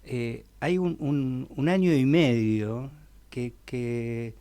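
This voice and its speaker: A man's voice: medium pitch, takes it slowly, mellow, smooth. Stammering man hosting radio. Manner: stammering, repeats words while forming questions. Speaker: radio host. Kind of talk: radio interview.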